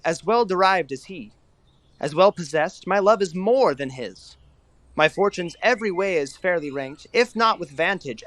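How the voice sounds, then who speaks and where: A proud, scornful young suitor played by a man in a Shakespearean audio play. His high voice is closely and drily recorded, assertive and rhetorical. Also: a bit bright, dry recording. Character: young Athenian lover, proud, bold and scornful. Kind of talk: Shakespearean verse audio drama acting.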